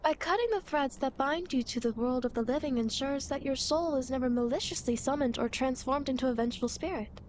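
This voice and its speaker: A woman's voice: high-pitched, a little fast, hardly any pauses, a little hiss or hum, lightly acted. A woman playing a playful, teasing demon girl who guides dead souls, in a coy, dramatic ASMR roleplay. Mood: puzzled, explaining. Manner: coy, mischievous, mock-sympathetic, dramatic. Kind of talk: ASMR roleplay, acted monologue to the listener.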